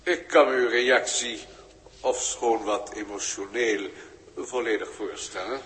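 A man's voice: mid-range voice, slow, fairly bright tone, a little hiss or hum. A man with a Netherlands Dutch accent playing a humble, officious alien registrar in a 1960s radio play, speaking in a formal, precise and obsequious way. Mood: deferential. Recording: old radio recording, long hall reverb.

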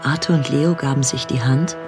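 A man as the storyteller of a radio drama, giving sober, measured scripted narration. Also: mid-range voice, fairly even intonation, natural voice. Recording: music under the narration.

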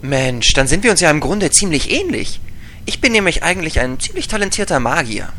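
Man as animated, blunt gnome mage. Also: fairly high pitch, fairly quick, a little hiss or hum. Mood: pleased. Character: blunt talkative male gnome mage, a TV actor. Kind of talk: parody fantasy audio drama.

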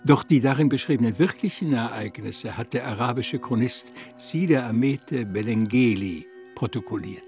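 A man's voice: mid-range voice, normal speed, darkish tone, faint music behind. A man giving scripted, factual narration in a radio drama.

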